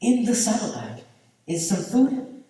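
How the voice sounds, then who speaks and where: A man on stage playing a stubborn, kindly old man, earnest and deliberate, mixing in Spanish words. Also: sits high, very animated, mellow. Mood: grave. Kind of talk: stage play acting. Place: theatre stage, far camera. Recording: echoey theatre hall from far off.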